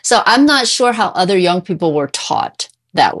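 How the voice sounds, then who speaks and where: Female therapist guest, middle-aged, lowish pitch, insistent and opinionated, stressing points by repetition. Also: expressive, moderate pace, fairly bright tone. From born in Korea, immigrated at age five. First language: English. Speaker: guest, mental health therapist. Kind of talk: opinionated conversational interview answers.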